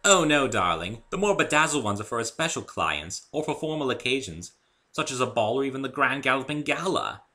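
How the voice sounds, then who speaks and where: A man voicing a refined, theatrical female unicorn fashion designer with genteel, drawn-out emphasis in a story reading. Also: mid-range voice, very animated, bright, forceful tone, voice pushed up. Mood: reassuring, gracious.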